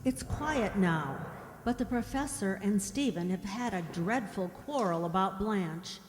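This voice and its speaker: Woman as a timid young girl, slow and expressive, echoing. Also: mid-range voice, lightly acted. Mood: anxious, hushed. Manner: breathless gasps, wistful, fearful. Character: timid, gentle young mute woman's anxious inner voice. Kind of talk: old-time radio drama acting. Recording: echoey hall, long reverb.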